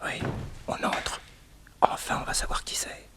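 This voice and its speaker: An actor playing a detective's eager nephew, telling the case as a lively storyteller in a French radio serial. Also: male voice, a little slow, whispered, close to an everyday voice. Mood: hushed, excited.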